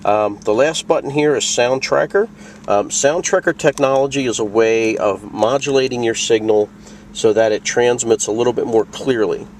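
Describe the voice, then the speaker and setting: A man's voice: medium pitch, moderate pace, slight background noise. A man presenting a product tutorial in a casual, plain-spoken, conversational way, walking through things step by step. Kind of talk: casual instructional walkthrough.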